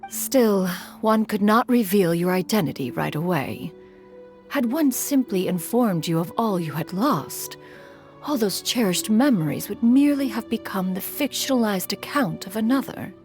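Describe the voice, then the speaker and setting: A woman voicing an aloof, formal female crane immortal who is master to disciples. She speaks in a measured, archaic way and calls herself "one". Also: medium pitch, lively intonation, a little mellow, faint music behind, lightly acted.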